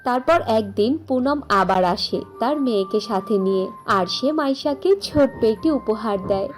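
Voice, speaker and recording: A woman's voice: on the high side, lively intonation, unhurried, faint music behind. A woman reading scripted fairy-tale narration as the storyteller.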